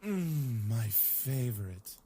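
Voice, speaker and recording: A man's voice: mid-range voice, measured pace, a little mellow, slightly rough. A man voicing an irritable, dryly logical young man in a story game. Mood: pleased, languid.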